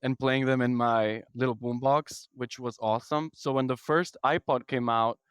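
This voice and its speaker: A man's voice: mid-range voice, fairly even intonation, normal speed, a bit bright, clean tone, dry recording. A man in his thirties from Ecuador with an Ecuadorian accent, a podcast guest answering questions in a thoughtful, articulate way. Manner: thoughtful, articulate, lists ideas in sequence. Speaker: podcast guest, product executive. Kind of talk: conversational interview answers.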